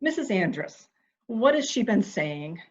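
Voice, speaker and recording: A woman's voice: medium pitch, big pitch swings, a little slow. A woman playing a fretful, intense, protective member of a household in a melodramatic mystery reading, in slightly dull sound. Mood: upset, defensive. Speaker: amateur reader, library staff. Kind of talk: dramatic reading of a murder mystery.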